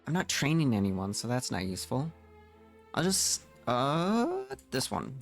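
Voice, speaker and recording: A man giving casual live gameplay commentary, muttering to himself in a halting way. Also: mid-range voice, very animated. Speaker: game streamer. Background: game music.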